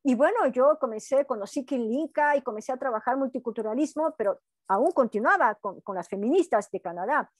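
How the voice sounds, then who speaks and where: A woman's voice: high voice, expressive, fairly quick, no echo, clean studio sound. A woman from Peru giving an online university lecture in a conversational way, often adding "¿no?" to check in with students. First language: Quechua heritage, lives in Brazil. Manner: conversational, frequent "¿no?" tag questions. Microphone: video call.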